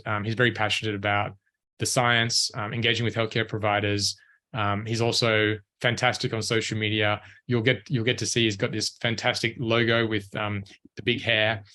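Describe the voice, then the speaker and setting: A man with a fairly low voice hosts an online webinar. He welcomes viewers and introduces the speakers in a friendly, halting way, with many "um" pauses. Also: fairly bright tone. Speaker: webinar host and director of operations.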